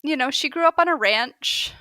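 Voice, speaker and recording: A woman's voice: high voice, normal speed, bright, forceful tone, no room sound, low-quality recording. A woman in her thirties or forties, a podcast co-host chatting casually and animatedly about books she has read. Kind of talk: casual book chat.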